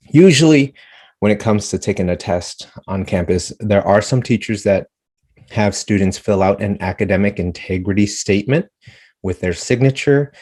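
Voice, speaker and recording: A man's voice: on the low side, darkish tone. A man working as a school dean, briefing parents on school policies in an earnest way, often repeating words and correcting himself. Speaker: dean of students. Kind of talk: informational presentation to parents.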